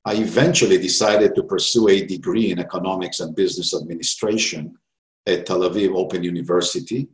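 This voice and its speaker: A man's voice: low-pitched, steady tone, fairly quick. An older man from Israel with an Israeli accent, a company founder and CEO presenting himself to investors in a frank, self-assured way. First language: Hebrew. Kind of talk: recorded investor pitch. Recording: echoey room.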